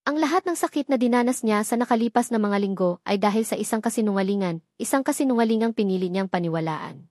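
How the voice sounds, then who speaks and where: A woman narrating a scripted drama story in a slow, measured storytelling manner. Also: medium pitch, animated, a little mellow, dry recording, studio quality.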